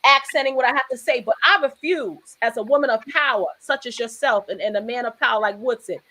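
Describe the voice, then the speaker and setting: This is a woman with a high-pitched voice, hosting a talk show in a casual tone. She speaks with emphatic, preachy conviction and rambles through her points, often restarting mid-sentence. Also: a little fast, sharp tone, no room sound, poor audio.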